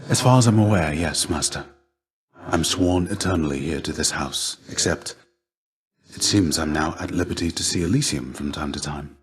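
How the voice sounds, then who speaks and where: A gentle, battle-weary warrior shade from a video game, a mentor with a very low, soft-edged voice. He speaks in measured, courteous phrases with an old-fashioned formality. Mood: formal, deferential. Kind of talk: video game character acting.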